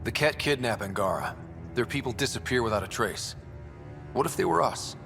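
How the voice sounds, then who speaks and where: An actor playing a young, earnest expedition leader in a sci-fi video game, talking in a casual way. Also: male voice, mid-range voice, animated, moderate pace, gravelly, music in the background. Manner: casual, conversational. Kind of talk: naturalistic game dialogue.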